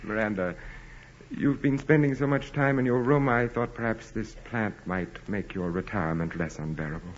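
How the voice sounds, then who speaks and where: An actor in 1940s radio style playing an arrogant, tormented aristocratic husband who speaks formally and with sardonic coldness. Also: male voice, fairly low pitch, normal speed, a little hiss or hum, natural voice. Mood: suavely menacing. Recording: muffled vintage 1940s radio recording.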